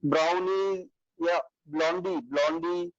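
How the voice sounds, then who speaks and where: Male student, Indian accent, unhurried delivery, deferential, muffled and roomy online class recording. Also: a little high, steady tone. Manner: deferential, addresses teacher as sir. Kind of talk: answering and reading aloud in online class. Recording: dull, echoey online call audio.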